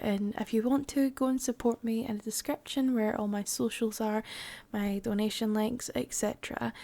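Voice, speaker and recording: A woman's voice: a little high, vocal fry, a little mellow. A woman ASMR creator chatting casually to her supporters.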